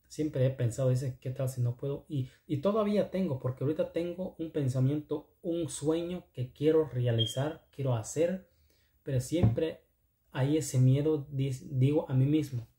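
A man from Oaxaca, a native Zapotec speaker with a Mexican second-language accent, giving casual motivational vlog talk in halting, repetitive, earnest phrases. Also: on the high side, mellow, reverberant. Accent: Mexican, second-language Spanish with Zapotec influence. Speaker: video channel host.

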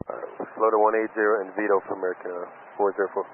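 A man's voice: on the low side, little pitch movement, very fast. A man speaking as an airline pilot in clipped readbacks over aviation radio. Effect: cockpit aviation radio. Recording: phone-like, noisy aircraft radio.

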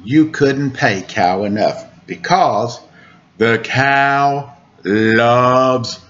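A man in his forties reading a children's picture book aloud in a playful, expressive way, with chatty asides. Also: medium pitch, drawn-out words. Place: room at home, indoors. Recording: muffled webcam audio with clear background noise.

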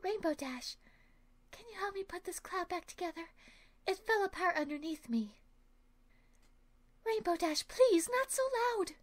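A woman voicing a timid, gentle young cartoon pegasus pony, softly and with shy hesitation. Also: very high-pitched, animated, a little slow, pauses often, no echo, pitched above the performer's own voice.

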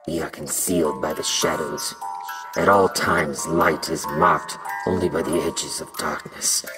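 A woman playing an ominous, brooding narrator of a dark audio drama, solemn and deliberate. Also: a bass voice, fairly even intonation. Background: music.

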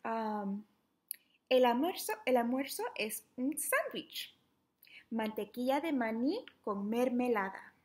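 A young adult woman reading a children's book aloud interactively, in an animated, playful, questioning manner. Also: a little high, takes it slowly. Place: small living room at home, indoors.

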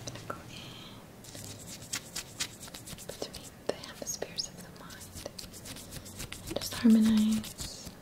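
Softly whispering woman, slow and gentle. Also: a little high, monotone. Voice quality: soft, breathy whisper. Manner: gentle, slow, deliberate phrasing with pauses. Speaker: ASMR energy healing practitioner. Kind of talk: whispered ASMR guided healing, soothing affirmations. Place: small dim indoor room. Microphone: close mic, seated near the camera.

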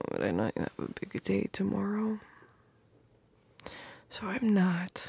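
A woman's voice: mid-range voice, darkish tone, full character voice. A woman playing a tender, protective girlfriend in a romantic ASMR roleplay, whispering intimately and affectionately, very close to the microphone. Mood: tender, adoring. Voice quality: breathy, whispery. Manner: slow, intimate, affectionate murmuring. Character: tender, protective, doting girlfriend murmuring at bedtime.

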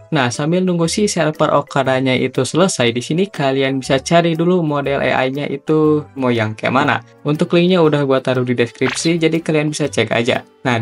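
Young male tutorial host, chatty and informal, mid-range voice over background music. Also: mid-range voice. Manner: chatty, slangy, step-by-step instructing.

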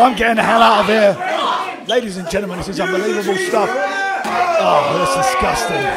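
A hungover man with a raspy voice, doing excitable, crude play-by-play commentary in a pub. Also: high-pitched. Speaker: wrestling commentator. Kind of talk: shouted ringside commentary. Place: crowded pub and street. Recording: loud crowd and noise.

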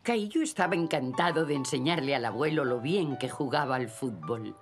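A woman with a Castilian Spanish accent narrating a children's cartoon in an expressive, gentle storytelling style. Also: very deep voice, moderate pace, over loud music.

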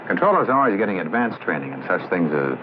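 Veteran air traffic controller for vintage educational film; deep, expressive, explanatory, on a narrow hissy soundtrack. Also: male voice, fast talker. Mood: matter-of-fact. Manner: explanatory, matter-of-fact. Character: seasoned male air traffic controller explaining his job. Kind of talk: scripted 1960s educational film dialogue. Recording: muffled old film soundtrack, faint hiss.

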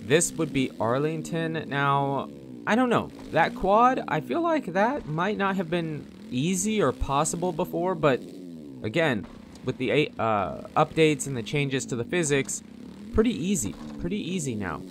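A man's voice: on the high side, sing-song, measured pace. A man giving casual, rambling off-the-cuff commentary as host of a gaming video, in a conversational way. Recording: game engine noise behind the voice. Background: motocross bike engine sounds from game.

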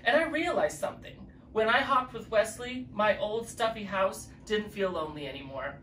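Drag queen reading a picture book aloud, expressive, mid-pitched, recorded from a distance in a roomy space. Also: normal speed. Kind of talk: children's story reading. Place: living room with fireplace, indoors. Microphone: distant camera mic. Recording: echoey room, distant mic, faint noise.

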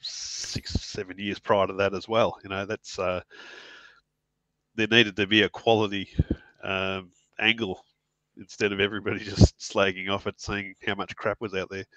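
A man in his forties with a New Zealand accent, chatting as a guest on a video call. He talks in a relaxed, halting way with plenty of fillers. His low voice comes through a headset mic, dry and close. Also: no room sound, poor audio. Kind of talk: casual video-call interview.

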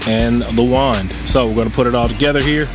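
A man's voice: medium pitch, steady tone, a little fast. Cheerful male channel host, casual unboxing talk recorded outdoors on a handheld camera, music audible behind. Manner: upbeat and conversational, narrating each step. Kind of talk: casual product unboxing and review. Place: outdoors in a yard or driveway.

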